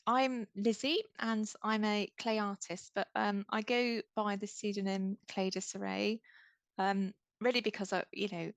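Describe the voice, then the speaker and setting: Hesitant soft-spoken woman, dry sound. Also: medium pitch, little pitch movement, a little slow, vocal fry, dry recording. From English Midlands. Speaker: podcast guest, clay artist. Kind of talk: casual conversation. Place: small home studio room.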